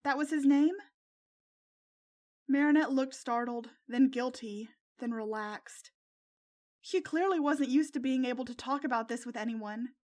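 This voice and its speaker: A woman reading fan fiction aloud as an expressive first-person story narrator. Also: on the high side, normal speed.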